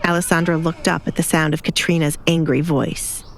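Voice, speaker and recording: A woman's voice: low-pitched, little pitch movement, brisk. A woman reading scripted dramatic fiction as an audiobook narrator, with light noise behind her.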